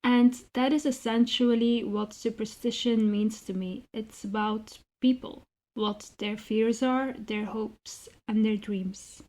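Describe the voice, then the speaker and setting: A woman with a soft, mellow and fairly high voice speaks as an interviewed writer. She is reflective and articulate, choosing measured, slightly formal phrasing. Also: steady tone. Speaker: interviewed writer and zine co-founder.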